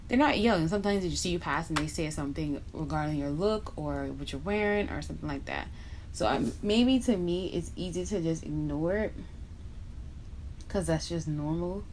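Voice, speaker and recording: A woman's voice: on the low side, darkish tone, slight background noise, low-quality recording. A young woman from Barbados chatting bluntly and casually while doing her makeup for a vlog, dropping in slang asides. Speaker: beauty vlogger.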